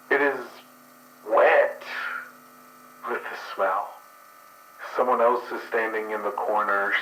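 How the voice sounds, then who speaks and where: A man's voice: lowish voice, sharp tone. Figure: man playing a crude, irritable skunk-man. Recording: echoey, faint hum. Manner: profane and sardonic. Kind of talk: actual play character voice.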